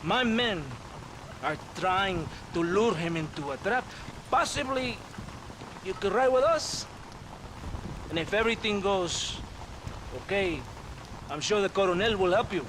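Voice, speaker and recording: Theatrical high-voiced Mexican army captain. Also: male voice, expressive, a little slow, long pauses, noisy background. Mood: scheming. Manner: theatrical, swinging from mock threat to lament. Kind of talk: video game character acting.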